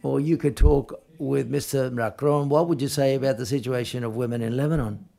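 Gravelly, mellow male interpreter. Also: medium pitch, fairly even intonation. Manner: halting, piecemeal phrasing with self-corrections. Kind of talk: live spoken interpreting at a film press conference.

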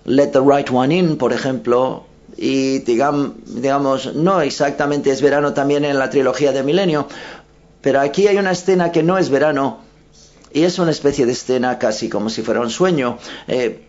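A man's voice: fairly high pitch, a little mellow. A man interpreting live at a press conference, hesitant, often breaking off to correct himself. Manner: hesitant, with frequent self-corrections. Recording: dull lo-fi sound.

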